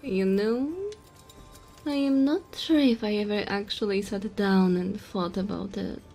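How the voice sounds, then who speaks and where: A woman's voice: medium pitch, a little slow, warm tone, faint music behind, lightly acted. A woman playing a small, cheeky goblin bartender in a fantasy audio roleplay, teasing and chatty with the customer. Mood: chatty, candid. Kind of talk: fantasy audio roleplay, addressing the listener softly.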